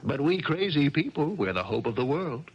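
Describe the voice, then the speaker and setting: An actor playing a smooth-talking millionaire gambler, coaxing and halting. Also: male voice, a little high, very animated, full character voice. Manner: coaxing, hesitant, halting restarts. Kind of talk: 1970s radio drama acting. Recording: dull lo-fi 1970s radio broadcast.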